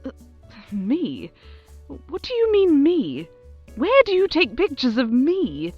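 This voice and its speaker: A woman's voice: high-pitched, wildly swooping pitch, takes it slowly, no room sound, lo-fi sound, faint music behind, full character voice. A woman playing a regal, self-adoring overlord who hosts her own broadcast in a theatrical, condescending, grandly gracious way in an audio drama. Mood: unnerved. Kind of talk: audio drama acting as a radio show host.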